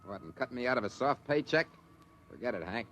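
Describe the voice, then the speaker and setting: An actor playing a young test pilot in a 1950s radio drama, dry and wisecracking, reporting matter-of-factly from the cockpit. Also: male voice, medium pitch, expressive, a little fast, a bit bright, slight background noise, close to an everyday voice. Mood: wry. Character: wisecracking young male test pilot, steady under pressure.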